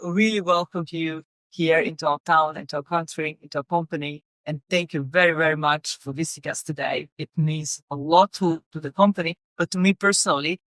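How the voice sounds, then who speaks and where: A middle-aged woman from Serbia, speaking English as a guest in an interview, halting with many fillers and repeated words. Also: sits low, fairly even intonation, fairly bright tone, dry recording, rough recording. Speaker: guest, company executive. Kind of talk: casual interview conversation.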